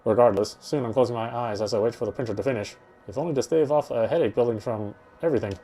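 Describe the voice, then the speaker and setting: A man reading a horror visual novel aloud in an expressive, first-person storytelling manner. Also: mid-range voice, fast talker. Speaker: let's-player reading a game aloud. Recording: game music behind the voice. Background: game music.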